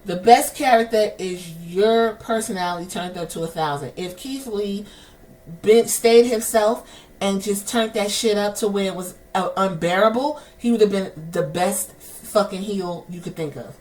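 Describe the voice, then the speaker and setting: A woman's voice: mid-range voice, moderate pace, darkish tone, recorded from a distance, rough recording. A woman with a New York accent, hosting a podcast and ranting in heated, profane commentary. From New York City. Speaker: podcast host.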